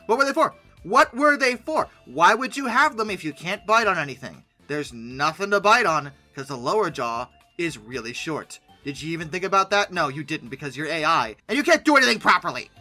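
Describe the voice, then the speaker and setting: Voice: mid-range voice, sing-song, fairly quick, few pauses, pushed and bright. A commentator giving humorous, ranting reaction commentary in a theatrical, exasperated, sardonic way, repeating phrases for emphasis. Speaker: host of a paleoart commentary video. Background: background music.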